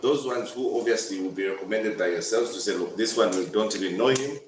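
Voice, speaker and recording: A man's voice: fairly low pitch, a little fast. A man giving an informal, emphatic address to a meeting as an official. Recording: echoey room, faint noise.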